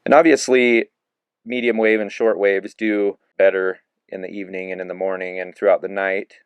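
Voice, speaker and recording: A man giving a relaxed, casual hands-on review of a handheld radio, narrating each step with plenty of fillers as he works the controls. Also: low-pitched, normal speed, smooth, no room sound, dull audio. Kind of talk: casual hands-on product demo.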